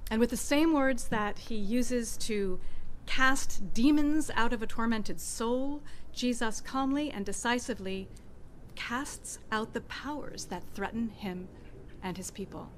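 A middle-aged woman pastor preaching a sermon in an outdoor church garden, speaking into a stand mic at a lectern with expressive pauses. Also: on the high side, a little hiss or hum.

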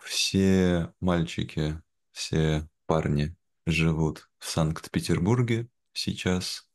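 A young man with an extremely low voice talks at a slow, measured pace. His phrasing is simple and clear, as in conversation meant for language learners. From Veliky Novgorod, Russia. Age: young adult. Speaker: interview guest, language teacher. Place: indoor room, video call. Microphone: video call, wearing headphones.

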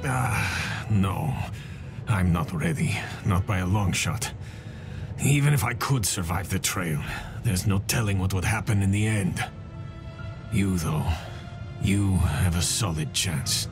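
Game soldier: gruff but friendly, burdened by guilt, deep register, slow and downbeat. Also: male voice, music in the background, heavily acted. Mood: resigned. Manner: wry, downbeat, understated. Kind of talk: dramatic game dialogue.

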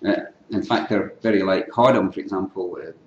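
An older Scottish man giving an unscripted academic lecture at a conference, often clearing his throat and restarting phrases. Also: sits low, a little fast, muffled recording, a little hiss or hum. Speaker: keynote lecturer in archaeology. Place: lecture hall. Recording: echoey lecture hall.

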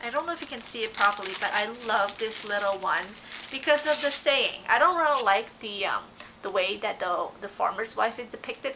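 A woman's voice: medium pitch, pushed and bright, slight background noise, rough recording. A young woman whose first language is Dutch, chatting casually on her couch as she shows off her craft haul, rambling with many ums and self-corrections. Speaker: cross-stitch vlogger. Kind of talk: casual show-and-tell haul. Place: living room couch, indoors. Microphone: fixed camera at close range.

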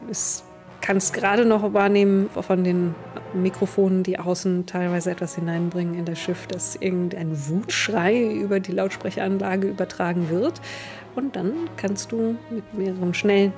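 A young woman runs a tabletop game as its narrator. Her voice has a medium pitch and she describes scenes vividly on a close mic, with music behind her. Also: fairly even intonation, moderate pace, a little mellow. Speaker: game master.

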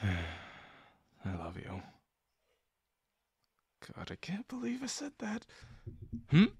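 A man's voice: fairly high pitch, wildly swooping pitch, darkish tone, slightly rough, no room sound, clean studio sound, heavily acted. A man playing a shy, sincere young guy in love with his best friend, speaking hesitantly and intimately to the listener in an audio roleplay. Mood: nervous, tender. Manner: hesitant, halting, full of pauses and sighs. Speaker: voice actor.

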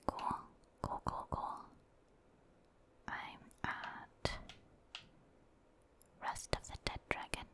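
Woman whispering softly, gaming ASMR. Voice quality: breathy, unvoiced whisper. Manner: casual, rambling, thinking aloud. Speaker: gaming ASMR video host. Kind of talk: whispered ASMR video game commentary.

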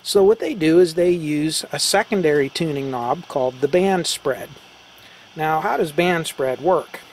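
A man's voice: a little high, big pitch swings, unhurried, a little hiss or hum. A man giving a casual, conversational hands-on explanation, taking it step by step as a hobbyist presenter. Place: indoors beside radio equipment.

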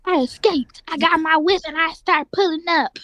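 Young-sounding woman playing a high-voiced feisty grandma. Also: fairly even intonation, moderate pace, a bit bright. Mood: boastful. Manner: sassy, defensive, combative. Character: feisty, stubborn elderly grandmother with a creepy doll. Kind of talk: improvised online roleplay.

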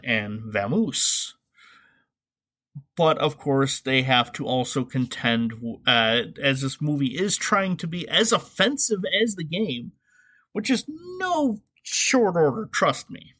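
A man with a mid-pitched voice hosts a comedy podcast. He delivers opinionated commentary with sarcasm, leaning on emphatic asides as he goes. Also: fairly bright tone. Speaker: podcast host.

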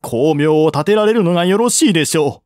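A man voices a shrewd male envoy in a historical audiobook, reasoning in polite, formal sentences. The voice is lifted high and close, with a dry sound. Courtesy holds throughout as he argues for peace. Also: animated, brisk, a little mellow, dry recording, close to an everyday voice. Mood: earnest, persuading.

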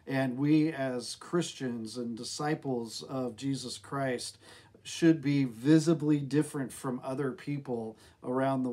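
A man's voice: medium pitch, a little mellow. A middle-aged man with an American accent, speaking as a pastor in a devotional talk to camera. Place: small office with bookshelves, indoors.

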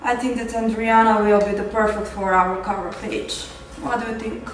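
A woman's voice: fairly high pitch, level tone, normal speed, noisy background. Woman speaking in a roomy echoey office. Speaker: casting assistant in a film. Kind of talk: amateur film dialogue. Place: office, indoors. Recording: echoey room from far off, music behind.

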